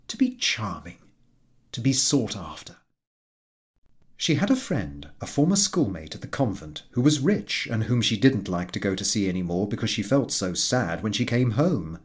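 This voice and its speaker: Expressive male storyteller, lively intonation. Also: medium pitch, moderate pace. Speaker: audiobook narrator. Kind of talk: literary scripted narration.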